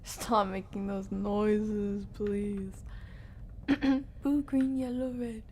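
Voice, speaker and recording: Female game streamer, slow-paced and reactive, casual commentary over clearly audible game noise. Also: mid-range voice, level tone, darkish tone. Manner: reactive, talking to herself and to viewers. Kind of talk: casual live gameplay commentary.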